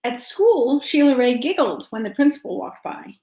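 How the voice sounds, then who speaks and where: In a roomy, echoing space, a woman with a somewhat high voice talks to children. She speaks simply and directly, as a storyteller reading from a picture book. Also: big pitch swings, normal speed, warm tone. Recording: roomy, echoing home-style sound.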